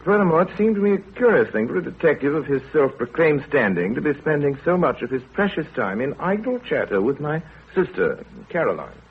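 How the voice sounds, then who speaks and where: Pompous, sardonic doctor on tinny radio. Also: male voice, on the high side, sing-song, fairly quick, hardly any pauses, breathy edge, full character voice. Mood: dry, wry. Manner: sardonic, clipped, haughty. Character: dry, pompous, irritable English village doctor, middle-aged man. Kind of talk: 1930s radio acting. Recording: tinny, narrow 1930s radio broadcast.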